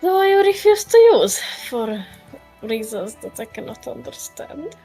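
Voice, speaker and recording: A woman trading teasing, dry comebacks with a friend during a gaming session. Her voice sits a touch higher than usual, relaxed and playful. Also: measured pace, soft background music. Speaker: co-op gamer. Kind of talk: casual chat while gaming.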